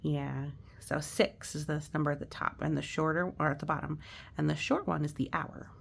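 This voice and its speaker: Creaky-voiced woman chatting through a picture book. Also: low-pitched, steady tone, moderate pace, slight background noise. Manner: chatty, exclamatory, explains things simply to children. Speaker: storyteller reading a picture book aloud. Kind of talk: children's read-aloud with casual explanatory asides.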